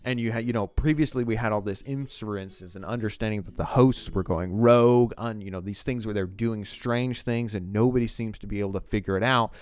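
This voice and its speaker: Low-pitched man giving enthusiastic commentary over music. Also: steady tone, brisk, hardly any pauses, darkish tone, smooth. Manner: enthusiastic, rambling. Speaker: video essayist and host. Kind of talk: commentary on a TV scene.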